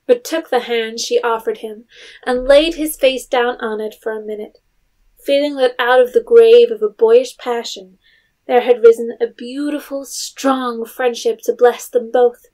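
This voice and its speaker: A woman's voice: a little high, fairly even intonation, measured pace, darkish tone, close to an everyday voice. A woman narrating an audio drama as a tender, reflective storyteller of a literary tale. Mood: warm storytelling.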